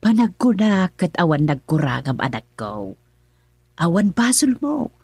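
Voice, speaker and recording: A caring middle-aged mother , played by a woman, with a husky, breathy voice and an Ilocano accent. Her pitch rises and falls freely, and she sounds soothing and motherly in a dry, close recording. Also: very animated, unhurried, a little mellow, dry recording, heavily acted. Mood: comforting. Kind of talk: radio drama acting.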